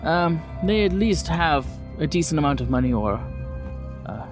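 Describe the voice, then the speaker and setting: A man playing a courteous, frank 1920s doctor who talks in a hesitant, measured, polite way. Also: on the high side, big pitch swings, music in the background. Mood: candid, measured.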